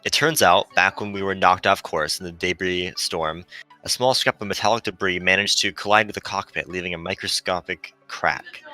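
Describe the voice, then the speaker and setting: A man voicing a gentle, melancholy female shuttle AI, earnestly explaining as it fades in a visual novel. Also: deep voice, moderate pace, faint music behind, poor audio. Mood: grave warning.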